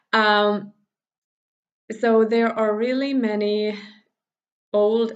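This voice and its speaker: A woman from Finland talks to her viewers in English, chatty and warm-hearted, stopping for fillers and laughs. Her mid-pitched voice has a gravelly edge of vocal fry. The recording is muffled, like a simple home setup on a camera or phone mic. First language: Finnish. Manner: chatty, laughs often, many fillers and restarts. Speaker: spiritual workshop teacher. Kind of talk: casual recorded workshop talk.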